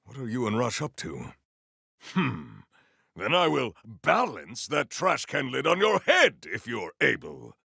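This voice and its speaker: An actor playing a proud, battle-hungry warrior in a video game, with formal, stilted and grandiose diction. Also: male voice, mid-range voice, huge pitch swings, measured pace, vocal fry, sharp tone, slightly rough, no room sound, studio quality, exaggerated voice. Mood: stern, determined. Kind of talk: scripted video game character lines.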